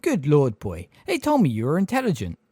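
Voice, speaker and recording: A man voicing an eccentric, fussy older woman who talks briskly and scolds impatiently. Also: a little high, wildly swooping pitch, mellow, breathy edge, no room sound, studio quality, pitched above the performer's own voice, full character voice.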